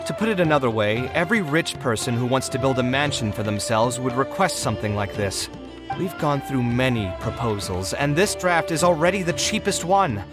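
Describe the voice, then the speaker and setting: A male actor plays a professional architect in a video game, frank and a little exasperated. His articulate delivery moves widely up and down at a medium pitch, over faint background music. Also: expressive, a little fast, natural voice. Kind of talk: acted video game dialogue.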